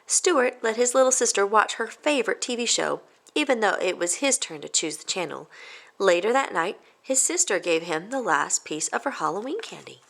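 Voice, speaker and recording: Animated woman reading a children's story. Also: mid-range voice, normal speed, vocal fry. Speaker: storybook reader. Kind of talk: expressive children's story narration.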